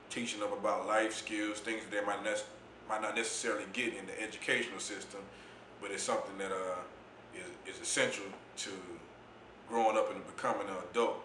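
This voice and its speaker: A man with a deep voice, speaking as an interview guest in a laid-back, reflective way about his poetry and youth mentoring. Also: pushed and bright, reverberant, a little hiss or hum. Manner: laid-back, reflective, says "you know what I'm saying" often. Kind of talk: casual interview talk.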